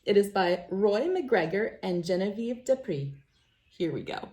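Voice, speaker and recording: A woman's voice: mid-range voice, expressive, measured pace, warm tone, a little hiss or hum. A woman reading a children's storybook aloud as narrator. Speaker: children's book reader.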